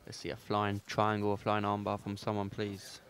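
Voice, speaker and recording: A man's voice: fairly low pitch, monotone, moderate pace, a little hiss or hum. A male commentator reacting to a grappling match in a conversational way. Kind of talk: live sports commentary. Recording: loud crowd and music behind.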